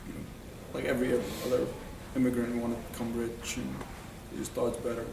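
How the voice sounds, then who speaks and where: A man's voice: medium pitch, monotone, brisk, gravelly. A young man in his twenties from Syria, a student guest, answering plainly with fillers. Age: 20s. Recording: echoey room, far from mic, noise.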